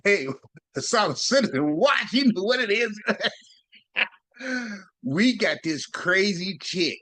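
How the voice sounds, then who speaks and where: A man's voice: high voice, lively intonation, slow pace, a bit bright, no echo. A man hosting a casual commentary show, talking in a rambling, slangy way and laughing often. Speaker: commentary channel host.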